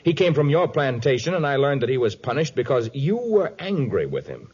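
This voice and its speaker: A husky, expressive voice as a stern, fearless jungle hero. He is formal and pointed, in the manner of old radio adventure, on a dull 1950s recording. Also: mid-range voice, brisk. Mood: accusing. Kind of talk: 1950s radio drama acting.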